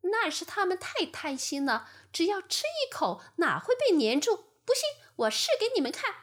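A woman voicing a bold, greedy fly who boasts in an exaggerated, very high voice. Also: huge pitch swings, normal speed, full character voice. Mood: eager.

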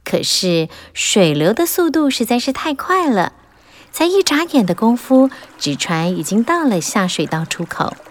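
A woman narrating a children's fairy tale in an animated, expressive way, rising and falling with the drama. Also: fairly high pitch, measured pace. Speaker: storyteller.

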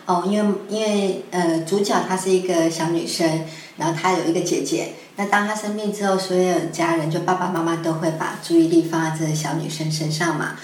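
A woman's voice: fairly low pitch, fairly even intonation, a little fast, a little mellow. A woman with a Taiwanese Mandarin accent, speaking as an interview guest, explains things conversationally and hesitantly, piecing her thoughts together as she goes. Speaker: guest scriptwriter. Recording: echoey room with faint noise.

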